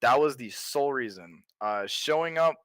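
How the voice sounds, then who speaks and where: Laid-back deep-voiced man, crisp tone. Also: expressive, moderate pace, a bit bright, dry recording, clean studio sound. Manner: laid-back, loose, leans on "like" a lot. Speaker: streamer being interviewed. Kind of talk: casual interview chat.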